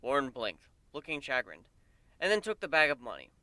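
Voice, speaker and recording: A man's voice: medium pitch, very animated, a little fast, pushed and bright, no room sound. A man narrating a scripted fantasy story as a dramatic reading.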